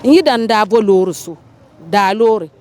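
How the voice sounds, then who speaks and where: A woman's voice: medium pitch, expressive, normal speed, mellow, a little hiss or hum. A woman from northern Ghana giving a personal account as a local resident being interviewed.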